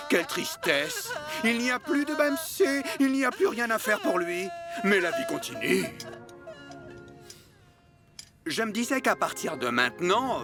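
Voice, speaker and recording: A sly cartoon fox villain, crowning himself king with theatrical pomp. The voice sits high and swoops widely, dripping with sarcasm and condescension over loud background music. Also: full character voice. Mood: mock sorrow, then smug, grandiose. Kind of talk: dubbed animated film acting.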